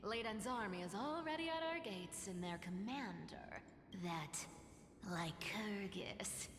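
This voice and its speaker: A woman playing a grave, weary storyteller in a fantasy game, speaking haltingly. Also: mid-range voice, a bit bright, a little hiss or hum. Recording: echoey hall reverb.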